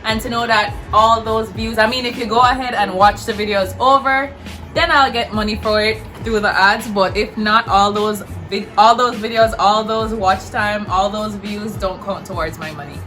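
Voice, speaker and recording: Young Jamaican woman vlogger; bright, emphatic, chatty; echoey room. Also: mid-range voice, fairly even intonation, moderate pace, faint music behind. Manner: chatty, emphatic, repeats words for stress. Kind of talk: casual talk to camera. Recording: roomy echo.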